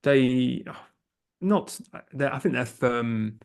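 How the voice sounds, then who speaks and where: A man's voice: mid-range voice, expressive, drawn-out words, vocal fry, clear, resonant tone. A young man with an English accent, a podcast producer chatting as a guest in a casual interview, hesitant, with many fillers and restarts. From England.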